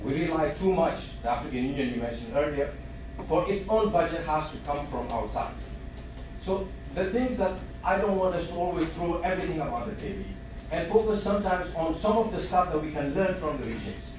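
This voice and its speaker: Senegalese man, audience comment at a panel, halting with fillers, picked up far off in a big echoing hall with noise. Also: a little high, animated. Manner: halting, many fillers, building emphasis. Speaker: audience member asking a question. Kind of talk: formal public comment at a panel. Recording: echoey hall, distant mic, noisy.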